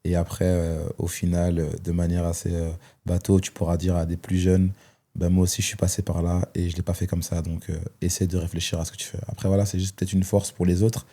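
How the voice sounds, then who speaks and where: Guest, man, 30s: low, warm, dark voice; hesitant, thinking aloud. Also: completely flat delivery, brisk. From Belgium. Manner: reflective, hesitant with many fillers. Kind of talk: personal testimony in conversation.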